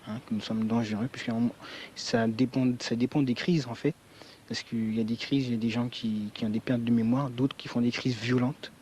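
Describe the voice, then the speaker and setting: A man's voice: mid-range voice, steady tone, normal speed, a little mellow. A young man from French Guiana speaks in a mid-range voice as an interviewee. He tells his own story earnestly and emphatically, leaning on filler phrases, over faint background noise. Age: young adult. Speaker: student interviewee. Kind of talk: spontaneous personal account in interview.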